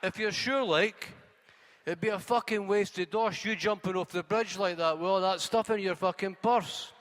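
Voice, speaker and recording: A Scottish man playing a brash, foul-mouthed taxi driver who talks high and loud at his passenger. The voice is bright, with a long reverb. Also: moderate pace. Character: coarse pushy male cabbie, talking loud.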